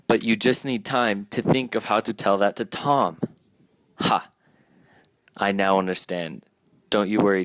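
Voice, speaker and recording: A young man voicing a lovesick rich young man who reads his own journal aloud in a hushed, brooding monologue. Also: lowish voice, dry recording. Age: young adult.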